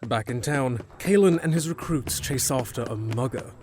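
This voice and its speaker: Mid-pitched man narrating audio drama. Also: moderate pace, a little mellow, slight background noise.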